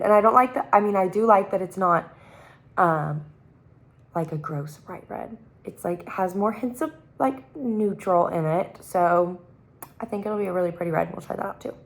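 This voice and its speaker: A young woman with a slightly hoarse voice after being sick, chatting casually and rambling with lots of fillers in a beauty vlog filmed on her phone at home. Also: fairly low pitch, normal speed. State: hoarse, recovering from illness. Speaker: beauty vlogger. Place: at home, sitting on the floor. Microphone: phone camera.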